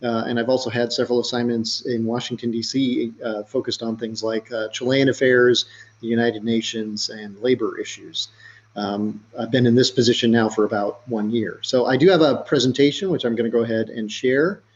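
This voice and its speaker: A man in his forties speaks as a recruiter over a video call, and his voice sounds a bit muffled. He is friendly and measured, with the occasional uh. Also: medium pitch, steady tone. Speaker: government recruiter. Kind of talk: presentation introduction.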